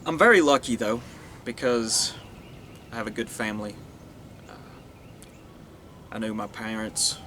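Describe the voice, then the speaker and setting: A man's voice: fairly low pitch, measured pace, long pauses, pushed and bright, slight background noise. Earnest man rambling to camera. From Northeast Tennessee, USA. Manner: rambling, earnest, restarts and trails off. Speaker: vlogger. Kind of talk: casual monologue to camera.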